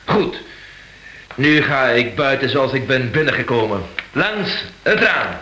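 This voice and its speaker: An actor playing a good-natured village police sergeant, courteous and hesitant, in a radio drama. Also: male voice, medium pitch, expressive, measured pace, bright, forceful tone, a little hiss or hum. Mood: cheerful, official. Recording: roomy, echoing radio play studio.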